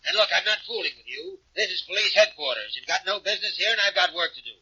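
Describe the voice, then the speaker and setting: An actor playing a stubborn, short-tempered deputy police inspector, curt and snapping, in a 1940s radio crime drama. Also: male voice, high voice, lively intonation, fast, pushed and bright, husky, no room sound, exaggerated voice. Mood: irritated. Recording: dull old radio recording.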